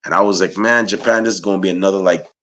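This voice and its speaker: A man's voice: low voice, fairly even intonation, rapid-fire, fairly bright tone. A man from Guyana hosting an interview, asking loose, rambling questions padded with "you know" and "man". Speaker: interviewer, podcast host. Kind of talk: casual interview chat.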